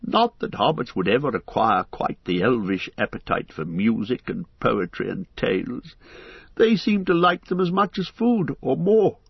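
A man's voice: medium pitch, big pitch swings, normal speed, gravelly, husky, no echo, highs cut off, exaggerated voice. A man doing Bilbo, a chatty old hobbit poet.

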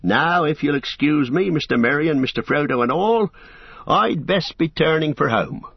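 A man voicing a stout older hobbit farmer with a gruff, rustic manner. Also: on the high side, lively intonation, moderate pace, dry recording, muffled recording, voice pushed up, heavily acted.

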